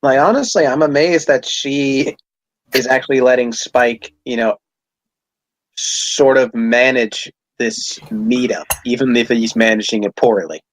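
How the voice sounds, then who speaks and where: A man with a middling pitch chats as a podcast co-host. His talk is informal and casual, with mild swearing dropped in. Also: a little slow, rough recording. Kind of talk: casual fan discussion.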